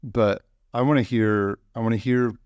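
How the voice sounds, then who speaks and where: A man hosting a podcast interview, relaxed and joking, with short acknowledgments. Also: medium pitch, moderate pace, clean tone, no room sound, muffled recording. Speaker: podcast host. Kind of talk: casual interview conversation.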